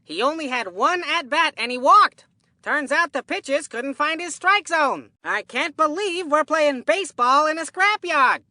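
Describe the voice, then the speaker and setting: A cheerful, forgetful old grandpa calling baseball in a kids' cartoon game. The voice is high and piercingly bright, recorded very dry and close. He rambles in a folksy, excitable way. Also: unhurried, dry recording, exaggerated voice. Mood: chatty, cheerful. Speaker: sports commentator in a children's baseball game.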